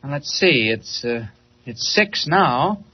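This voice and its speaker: An actor playing a courteous, eager newspaper reporter who is secretly a superhero, in a 1940s style radio drama. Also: male voice, medium pitch, lively intonation, slow pace, breathy edge. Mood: attentive. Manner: polite, eager, attentive. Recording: muffled, hissy old radio recording.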